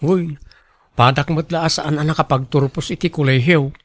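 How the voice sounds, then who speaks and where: Young male lead, man acting: humble, insecure, earnest radio drama sweetheart, fairly high voice, dry recording. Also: fairly even intonation, moderate pace, no room sound, close to an everyday voice.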